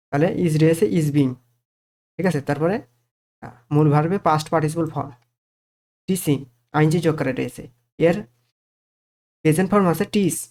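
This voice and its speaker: A man's voice: on the high side, darkish tone. A man with a Bengali accent teaching grammar step by step, asking questions and answering them himself, with frequent check phrases. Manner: didactic, asks and answers own questions, repeats check phrases.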